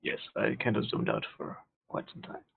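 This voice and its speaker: A man playing a tabletop roleplaying game online, bantering playfully and flirtatiously in character. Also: moderate pace. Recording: tinny online call audio.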